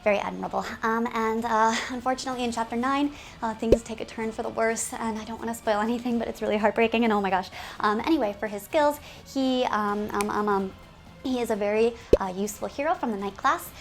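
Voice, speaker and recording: A woman hosting a game community video series, speaking to viewers in an animated, giggly, presenter's way. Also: a little high, fast. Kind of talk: upbeat scripted video presenting with ad-libbed asides.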